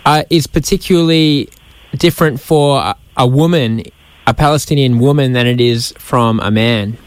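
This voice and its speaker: A man's voice: medium pitch, moderate pace, clear, resonant tone, dry recording. A male radio host asking questions in a friendly, slightly hesitant way in a studio interview. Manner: friendly, hesitant phrasing with fillers.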